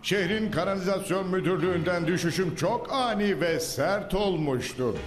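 A man's voice: high-pitched, normal speed, close to an everyday voice. A man voicing a scheming, grandiose male sewer villain in a dubbed cartoon, giving gloating theatrical speeches. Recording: echoey reverb with music.

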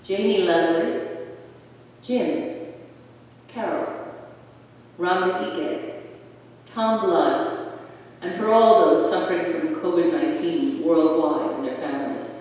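Slow, halting prayer reader in an echoey church. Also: medium pitch, a little mellow, distant mic. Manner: halting, with slips and self-corrections. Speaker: lay reader leading intercessions. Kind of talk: reading prayers aloud in a church service. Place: large church with stone walls. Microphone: distant room mic.

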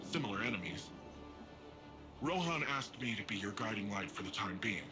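A man plays a young rookie hero in a futuristic game. He is casual and cheeky, bragging playfully in a medium-pitched voice with music behind him. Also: natural voice. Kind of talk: video game dialogue acting.

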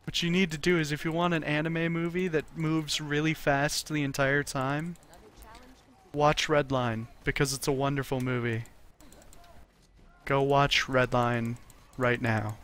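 Young man, casual gamer chat, jokey, smooth clear tone, a bit high. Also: flat delivery, a bit bright. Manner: enthusiastic, jokey, quick reactions. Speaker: gaming channel host.

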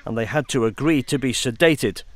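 Brief: British male reporter, news voice-over, dry studio sound, measured. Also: mid-range voice, no echo.

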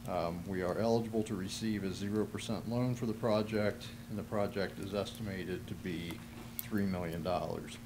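Low-voiced middle-aged male official, noisy chamber. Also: fairly even intonation, normal speed. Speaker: city official presenting to council. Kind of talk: formal explanation with hesitations. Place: large council chamber. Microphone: podium microphone on stand. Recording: noisy council chamber room recording.

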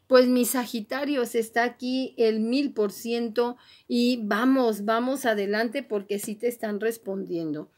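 A woman card reader speaking straight to the listener in an encouraging, exhorting manner, repeating her urgings as she gives a motivational horoscope reading. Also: on the high side. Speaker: tarot and oracle card reader, host.

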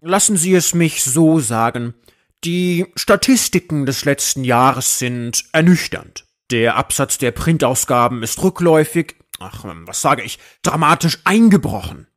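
Audio drama casting: a man as a weary, evasive chief editor, stiffly formal, slightly high-voiced, bearing bad news reluctantly. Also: animated, measured pace, a bit bright. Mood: grave.

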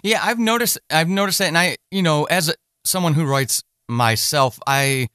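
Higher-pitched middle-aged man interviewing. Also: normal speed, bright, forceful tone, smooth, dry recording, studio quality. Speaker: podcast host, interviewer. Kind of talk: conversational interview.

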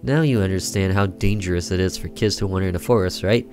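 A man's voice: sits low, quick pace, darkish tone, soft background music, close to an everyday voice. A man voicing a protective but evasive boy, an older brother in a horror visual novel, explaining earnestly and defensively. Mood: reassuring.

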